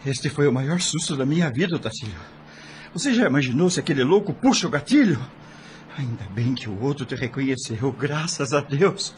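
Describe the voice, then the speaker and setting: A man's voice: mid-range voice, animated, brisk, a little hiss or hum, lightly acted. An actor playing a sceptical man humbled into earnest respect for his friend, in an audio drama. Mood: shaken, relieved. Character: shaken male sceptic, humbled and conceding to friend.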